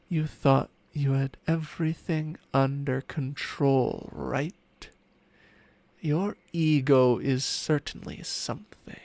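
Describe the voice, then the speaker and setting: A man's voice: mid-range voice, fairly even intonation, takes it slowly, darkish tone, dry recording. A man playing a smug, possessive ancient dragon in human form, teasing and condescending in an intimate ASMR roleplay with formal, archaic phrasing. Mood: condescending, amused. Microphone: close microphone, spatial ASMR recording.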